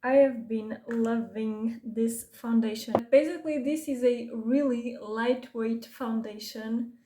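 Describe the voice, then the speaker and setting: A young woman with a Portuguese accent talks through her makeup routine in a relaxed, unhurried way. Her voice is mellow and a little high, and she drifts into asides and corrects herself as she goes. English is not her first language. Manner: casual, self-correcting, rambling asides. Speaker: beauty vlogger. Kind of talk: chatty get-ready-with-me makeup talk.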